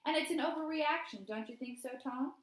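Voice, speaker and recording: A woman's voice: high-pitched, fairly quick, pitched above the performer's own voice, close to an everyday voice. A woman voicing an outspoken, practical mother in a storybook, firm and challenging. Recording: roomy, echoey indoor sound.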